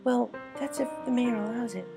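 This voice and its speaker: A man voicing a gentle, timid female pegasus pony in a storybook reading, polite and hesitant. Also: very high voice, moderate pace, vocal fry, music in the background, pitched above the performer's own voice. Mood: gentle, pleasant.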